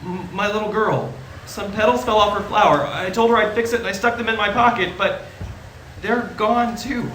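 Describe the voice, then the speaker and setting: Man acting an excitable, high-voiced small-town fellow. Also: normal speed, slight background noise. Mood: frantic. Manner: folksy, excitable, stammering. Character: agitated, bewildered small-town family man. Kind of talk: stage radio play acting. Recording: roomy stage sound, faint music.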